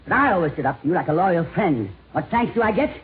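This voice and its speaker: An actress playing a catty, high-strung society woman in a 1950s radio drama, arch and biting in a theatrical way. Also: very animated, fast talker. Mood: bitter, hysterical. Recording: tinny, noisy 1950s radio recording.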